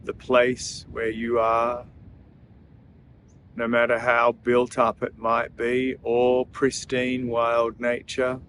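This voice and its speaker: A man leading a guided breathing meditation in a soothing, gentle manner, leaving long pauses between phrases. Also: mid-range voice, completely flat delivery, slight background noise.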